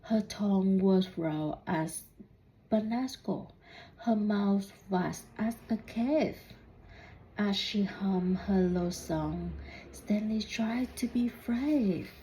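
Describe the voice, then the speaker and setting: A woman reading a children's storybook aloud in deliberate, halting phrases, putting questions to young listeners. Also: medium pitch, dark tone, slight background noise, rough recording. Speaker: student reading a picture book aloud.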